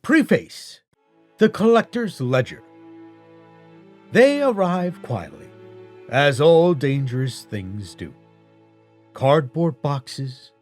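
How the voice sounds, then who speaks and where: A man narrating an audiobook as an ominous, solemn collector of cursed relics, with slow, portentous, deliberate phrasing. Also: medium pitch.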